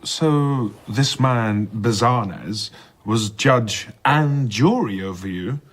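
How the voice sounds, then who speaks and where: An actor playing a sceptical gentleman in a radio drama, putting probing questions in a measured, incisive way. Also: medium pitch.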